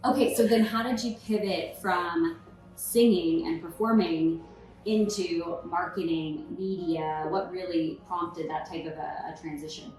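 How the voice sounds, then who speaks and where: A woman hosting a podcast interview, encouraging her guest with quick affirmations and curious questions. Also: lowish voice, fairly even intonation, darkish tone, very echoey, far from the mic, soft background music, rough recording. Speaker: podcast host. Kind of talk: casual interview conversation.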